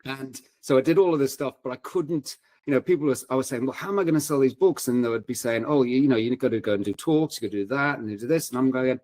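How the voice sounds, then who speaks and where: A man's voice: medium pitch, fast, hardly any pauses, darkish tone, no room sound. Man telling wry anecdotes, dry close recording. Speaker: podcast guest, paramedic and fiction writer. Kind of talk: casual anecdotal interview talk.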